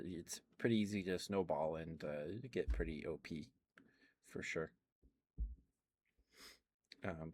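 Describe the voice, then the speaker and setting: A man softly rambling about video games in a relaxed ASMR stream, with many ums and uhs. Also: low voice. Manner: relaxed, quiet and unhurried.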